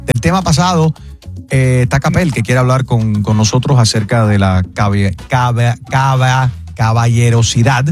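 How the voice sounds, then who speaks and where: A man hosting a radio show, reading event promos quickly and teasing callers and guests. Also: mid-range voice, faint music behind. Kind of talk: lively radio chat.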